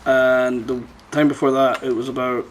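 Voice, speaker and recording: A man's voice: medium pitch, little pitch movement, normal speed, slight background noise. A man hosting a casual podcast chat, talking in a sweary, teasing, bantering way. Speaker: podcast host.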